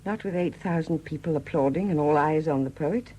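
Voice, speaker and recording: An actress in a 1970s British radio drama playing a widowed murderess who confesses in a hesitant, confiding manner. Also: female voice, low-pitched, a little fast, warm tone, a little hiss or hum, natural voice, low-quality recording. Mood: tense recollection. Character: quiet confessing murderess, a Welsh sculptor's widow.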